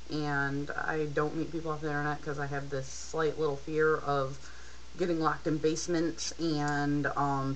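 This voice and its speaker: A woman's voice: deep voice, a bit bright, a little hiss or hum, poor audio. A tired young woman telling a personal story casually, in a candid, rambling and hesitant way, as a video blogger. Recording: rock song playing in the background. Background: rock music playing behind the voice.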